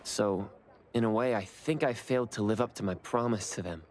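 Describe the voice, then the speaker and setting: A man's voice: lowish voice, normal speed, darkish tone, lightly acted. A man playing an earnest, reserved young man in an anime game, speaking sincerely and with understatement. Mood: reflective. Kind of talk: anime game voice acting, conversational.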